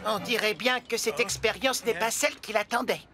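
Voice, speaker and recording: A woman's voice: on the high side, moderate pace, natural voice. A woman voicing a naive, enthusiastic talking truck in a cartoon, wide-eyed and exclaiming, easily discouraged. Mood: curious, amazed. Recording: loud music behind the voice.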